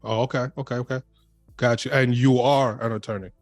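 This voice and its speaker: A man's voice: mid-range voice, steady tone, a little fast, soft background music. A man hosting a podcast interview in a casual, friendly way, often calling his guest "man". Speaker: podcast host.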